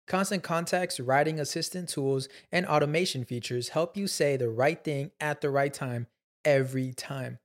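A man's voice: a little high, unhurried. A man giving a polished, upbeat scripted ad read as an announcer.

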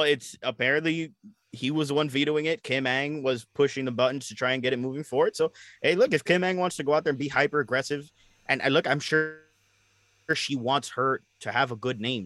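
A man hosting a casual sports podcast, talking in a rambling, animated way and often repeating his words. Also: medium pitch, brisk, no echo. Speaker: podcast host.

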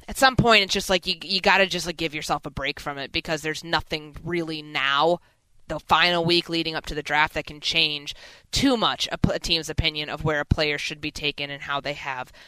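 A woman's voice: deep voice, fairly even intonation, moderate pace, sharp tone, smooth, no room sound, poor audio. A woman co-hosting sports talk radio, giving emphatic, opinionated analysis.

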